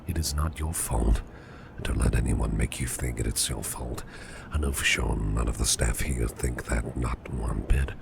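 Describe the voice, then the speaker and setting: A man playing a caring, reassuring businessman boss in a soft, half-whispered ASMR roleplay, soothing and unhurried, gently flirtatious. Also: heavily acted. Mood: consoling. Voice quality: very deep, gravelly, breathy half-whisper. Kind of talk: ASMR roleplay, personal attention. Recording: clearly audible background noise.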